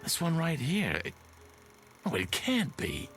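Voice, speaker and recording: A man's voice: a little high, wildly swooping pitch, takes it slowly, fairly bright tone, exaggerated voice. An actor playing a worried, caring male friend in an old television drama, hesitant and urgent in manner. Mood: stunned. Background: faint music.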